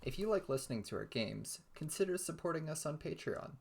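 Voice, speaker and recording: Husky, creaky man introducing a podcast. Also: fairly high pitch, lively intonation, a little fast. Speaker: podcast cast member. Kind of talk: podcast intro and support appeal.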